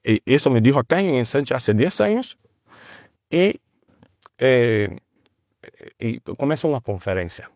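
Brief: Brazilian man, non-native Spanish, halting delivery, dry close sound. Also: mid-range voice, sing-song, a little mellow, no echo. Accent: Brazilian Portuguese. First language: Portuguese. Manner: halting, with restarts and searching for words. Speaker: guest, teacher of a ministry. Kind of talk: conversational testimony.

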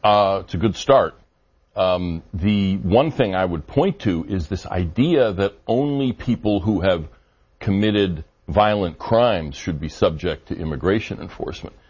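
A man answering interview questions on policy, measured and argumentative, with frequent fillers. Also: low voice, lively intonation, darkish tone, husky. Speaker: immigration policy guest. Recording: dull lo-fi audio.

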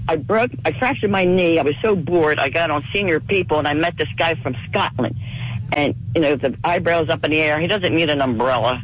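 A woman in her seventies calling in to a radio show. She is losing her voice to a cold and talks fast in a rambling way. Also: deep voice, a little hiss or hum, rough recording. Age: 70s. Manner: rapid, rambling storytelling. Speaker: caller. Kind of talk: call-in radio chat.

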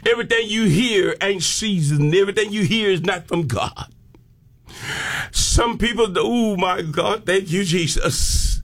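An American man preaches with fervor in a very high-pitched voice. He takes his time over each phrase and delivers it as an impassioned sermon, punctuated with exclamations of praise. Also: unhurried, fairly bright tone. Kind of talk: fervent sermon with call and response.

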